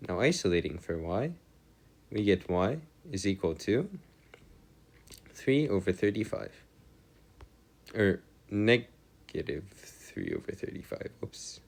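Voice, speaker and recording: Slow-talking man tutoring, pitch swinging widely. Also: big pitch swings, gravelly, a little mellow. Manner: hesitant, self-checking. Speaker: maths tutor. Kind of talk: maths lesson, working through equations aloud.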